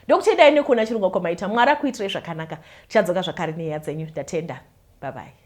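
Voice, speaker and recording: Fast-talking Zimbabwean woman, animated. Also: lowish voice. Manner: animated, mixes Shona and English, laughs often. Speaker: YouTube host. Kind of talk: casual chat to viewers.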